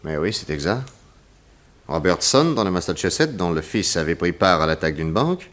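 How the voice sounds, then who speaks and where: Composed detective in a 1960s French radio serial: lower register, courteous, methodical questioner. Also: male voice, very animated, normal speed, a bit bright. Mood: thoughtful. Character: composed, probing male private detective in a crime serial.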